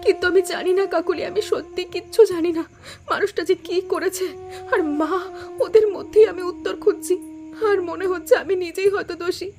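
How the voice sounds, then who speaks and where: A woman's voice: very high-pitched, steady tone, normal speed, over music. A woman voicing an anguished young wife in a cartoon drama, earnest and pleading, with emotion in her delivery. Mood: anguished, guilt-ridden. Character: anguished young wife, quiet by nature, growing resolute. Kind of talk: Bengali cartoon melodrama voice acting.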